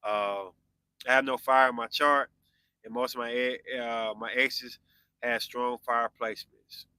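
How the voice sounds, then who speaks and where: A man in his forties hosts a live podcast and talks casually with his viewers. His voice is very bright and cutting. The sound is close and dry. He answers their comments in a loose, conversational way. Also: mid-range voice, steady tone, measured pace, clear, resonant tone, dry recording. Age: 40s. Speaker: podcast host.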